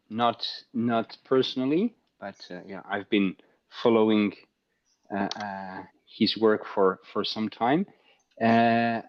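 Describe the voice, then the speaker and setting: Slow, hesitant man on dull dry call. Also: fairly low pitch, no room sound. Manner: hesitant, many fillers. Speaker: meeting guest. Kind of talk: casual chat on a video call. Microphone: video call.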